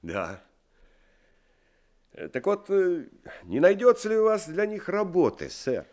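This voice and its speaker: A kindly truck driver speaks in a slightly high voice, friendly and a bit hesitant. He is deferential and polite, calling the other man sir, in an old radio play. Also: huge pitch swings, slow, a little mellow, heavily acted. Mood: pleading. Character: kind working-class truck driver, man.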